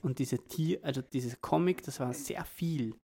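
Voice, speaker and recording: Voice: mid-range voice, a little slow, dark tone, slightly rough. A young adult podcast host with an Austrian accent, chatting casually in a colloquial, rambling way.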